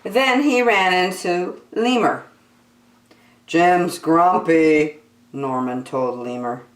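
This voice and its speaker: A woman reading a picture book aloud to children as a storyteller, in an expressive style. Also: fairly low pitch, slow. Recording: roomy, echoey sound.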